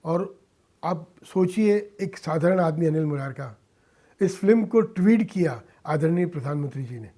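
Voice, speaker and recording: A mature Indian man speaking as an interview guest, earnest and emphatic, in deliberate short phrases with some English mixed in. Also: on the high side, lively intonation, mellow. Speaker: interview guest, social-cause filmmaker and philanthropist. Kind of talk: earnest radio interview talk.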